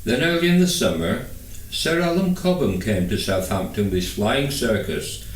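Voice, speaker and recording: A man's voice: on the low side, expressive, moderate pace, a little hiss or hum. A man reading a first-person novel aloud as scripted narration. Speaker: audiobook reader. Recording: echoey room, distant microphone.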